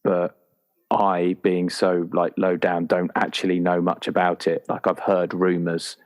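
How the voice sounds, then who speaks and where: Low-voiced man, playful and rambling. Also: monotone, a little slow. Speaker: tabletop roleplaying player. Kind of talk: casual chat about a game character.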